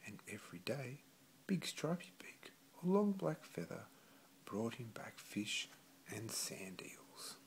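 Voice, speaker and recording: A man reading a children's story aloud expressively, with gentle, deliberate phrasing. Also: mid-range voice, big pitch swings, gravelly, in a whisper. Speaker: storybook reader.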